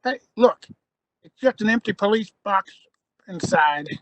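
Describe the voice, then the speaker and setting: A woman reading the part of a brisk young male adventurer in a live amateur radio play, giving orders and urging others on. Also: mid-range voice, lively intonation, a bit bright, no room sound. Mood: determined.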